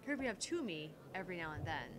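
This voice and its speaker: A woman's voice: lowish voice, sing-song, quick pace, noisy background. A woman co-hosting a gaming livestream, chatting casually and reading game text aloud.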